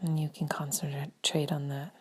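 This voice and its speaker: A woman leading a guided meditation in a gentle, spaced-out way, her soft breathy voice often trailing toward a whisper. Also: sits low, flat delivery. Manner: slow, gentle, spaced-out phrasing with long pauses.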